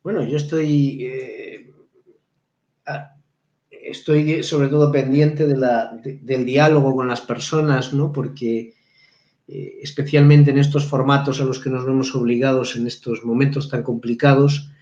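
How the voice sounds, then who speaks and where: A man speaks as a guest professor on a live video call. He thinks aloud, pausing on fillers and restarting phrases. The sound is roomy and a little dull, like webcam audio. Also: medium pitch, steady tone, a little mellow. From Spain. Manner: reflective, halting, frequent restarts and fillers. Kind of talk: live webinar discussion.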